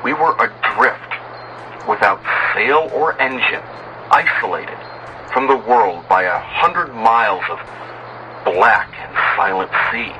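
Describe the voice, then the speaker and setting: A man reading a first-person horror story as dramatic scripted narration, in a measured, solemn, literary manner. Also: on the low side, expressive, dull audio, noisy background.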